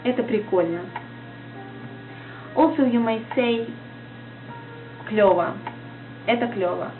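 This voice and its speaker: A woman's voice: on the high side, slow. A woman with a Russian accent, a native Russian speaker, teaching in a casual vlog lesson, hesitant and searching for words as she talks. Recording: tinny lo-fi audio with music behind.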